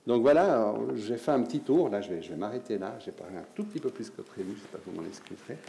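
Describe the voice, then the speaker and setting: A man's voice: on the low side, lively intonation, racing, creaky, warm tone. A man with a Swiss French accent giving a conference lecture on research, explaining step by step with restarts. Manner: explanatory, with restarts and filler words. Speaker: medical researcher giving a talk. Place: conference hall.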